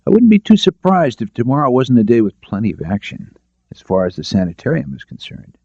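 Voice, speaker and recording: A man's voice: mid-range voice, wildly swooping pitch, brisk, darkish tone, no echo, highs cut off, voice pushed up. A man voicing a composed, probing lawyer who speaks directly, in a detective audiobook. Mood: polite. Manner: direct, questioning. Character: shrewd, composed, probing male defence lawyer.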